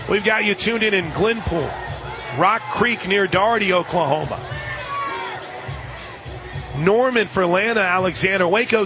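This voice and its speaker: High-voiced male play-by-play announcer, crowd noise. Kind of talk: live softball commentary. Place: outdoor softball stadium. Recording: loud crowd and outdoor stadium noise. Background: crowd noise, outdoor ambience.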